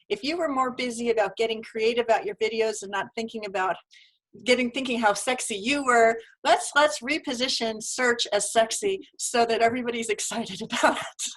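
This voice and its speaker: A woman's voice: a little high, rough recording. A woman hosting a live webinar over a video call, upbeat and effusive as she introduces her guest. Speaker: host.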